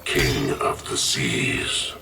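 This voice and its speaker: A man's voice: rumbling low, drawn-out words, vocal fry, over loud music, exaggerated voice. A man playing an ominous, ancient prophetic sorcerer in a video game, with a solemn, portentous delivery.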